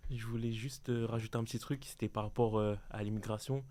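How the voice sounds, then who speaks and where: A young man on a round-table podcast, talking in a hesitant way with many pauses. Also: lowish voice, fairly even intonation, darkish tone. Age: young adult. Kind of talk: casual round-table chat.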